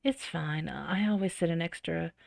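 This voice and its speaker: A woman's voice: sits low, normal speed, creaky, no room sound, clean studio sound. A woman reading a visual novel aloud with dramatic expression and casual, giggly reactions.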